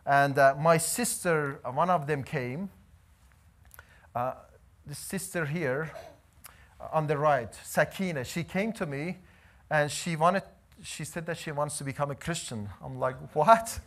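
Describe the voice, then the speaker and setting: Male missionary, 30s, lively storytelling testimony, fairly high voice. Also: measured pace. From Iran. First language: Azeri. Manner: animated storytelling, gesturing while pacing. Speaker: missionary preacher. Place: church hall, indoors.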